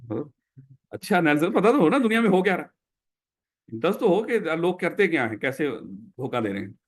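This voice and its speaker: A man's voice: a little high, sing-song, normal speed. A man with a Pakistani Urdu accent teaching a classroom lecture, who explains by putting questions to his students.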